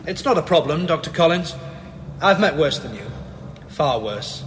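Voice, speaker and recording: Actor as a courteous inspector, echoing hall. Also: male voice, on the high side, animated, close to an everyday voice. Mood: dry, calm. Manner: polite, measured, dry. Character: restrained, courteous male wartime police inspector. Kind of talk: period audio drama acting. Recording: reverberant hall, faint background noise.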